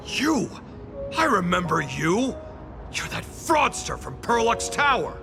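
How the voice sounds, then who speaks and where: A man voicing an excitable, officious attendant in a video game, formal in wording, fussy and expressive. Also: high-pitched, slow, creaky, music in the background, heavily acted. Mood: outraged.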